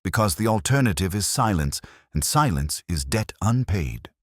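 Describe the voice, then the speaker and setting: A refined male villain acted by a narrator speaks with calm, measured menace. The voice is very low and husky, made for a mystery audiobook. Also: no echo, clean studio sound. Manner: soft, measured, ominous.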